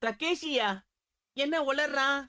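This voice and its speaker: A woman's voice: high voice, takes it slowly, pushed and bright, full character voice. A woman voicing a scheming, greedy big bully boy in a cartoon dub. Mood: gleeful scheming. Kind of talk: animated cartoon dub acting. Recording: music behind the voice.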